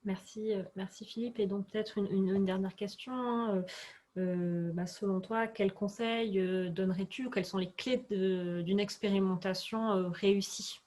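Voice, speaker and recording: A woman's voice: mid-range voice, steady tone, warm tone. Female webinar moderator, average register, semi-formal, hesitant phrasing. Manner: halting, searching for words.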